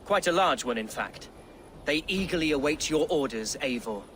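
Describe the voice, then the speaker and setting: A man's voice: a little high, expressive, moderate pace, a bit bright. A man playing an earnest, unsure young Anglo-Saxon nobleman in a video game, somewhat hesitant in manner. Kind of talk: video game cutscene dialogue. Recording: clear outdoor ambient noise behind.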